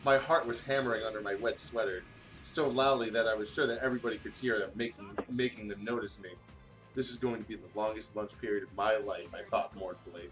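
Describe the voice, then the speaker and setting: A man's voice: lowish voice, fairly even intonation, fast, fairly bright tone, low-quality recording. A man reading a story aloud in a jokey, casual way, as a host who chats informally as he goes. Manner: informal, sweary, breaks off to riff. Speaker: gaming channel host reading fan fiction aloud. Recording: music playing behind the voice. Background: music.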